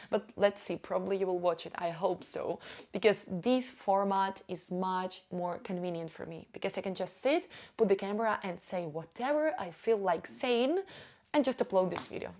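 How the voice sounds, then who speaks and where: A woman's voice: mid-range voice, darkish tone. Young Ukrainian woman, Russian native speaker, casual vlogger; blunt, emphatic, the odd swear word, small grammar slips. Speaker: YouTuber and marketing agency owner. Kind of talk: casual talking-head vlog. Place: indoors, sitting before a camera.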